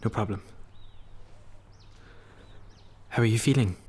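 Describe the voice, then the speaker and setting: Husky, earnest young Irishman, played by an actor. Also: on the low side, very fast, darkish tone, close to an everyday voice. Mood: awkward, caring. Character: caring, guilt-ridden young ex-boyfriend. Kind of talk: naturalistic radio drama acting.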